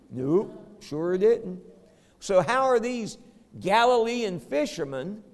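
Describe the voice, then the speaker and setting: Pastor, middle-aged man, slow deliberate delivery, fairly high voice, long church reverb. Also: vocal fry. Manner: preacherly, building to loud emphatic peaks. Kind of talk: sermon. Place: church sanctuary.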